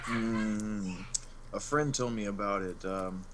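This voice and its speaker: A man's voice: lowish voice, steady tone, slow pace, noisy background. A man on a livestream panel, speaking in a laid-back, hesitant way. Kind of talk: casual livestream chat.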